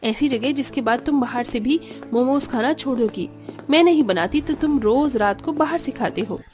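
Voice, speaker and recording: Woman as an exasperated, high-voiced young daughter-in-law. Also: moderate pace, a little mellow, faint music behind, lightly acted. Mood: chiding. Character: young married daughter-in-law, patient but exasperated, scolding. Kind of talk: Hindi cartoon story acting.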